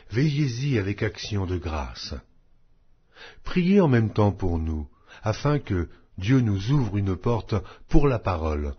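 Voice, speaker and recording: The audio is a little dull and lo-fi. A man reads scripture aloud in a husky voice, with solemn, declamatory delivery. His phrasing is measured, yet his intonation moves widely up and down. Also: on the low side. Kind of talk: scripted Bible reading.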